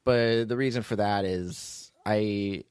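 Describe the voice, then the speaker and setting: A man's voice: on the low side, steady tone, takes it slowly, a little mellow, clean tone, no echo, studio quality. A man hosting a podcast in casual, unscripted chat, talking informally in a rambling way and often restarting his own sentences. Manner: rambling, informal, self-interrupting. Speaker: podcast host, writer and producer.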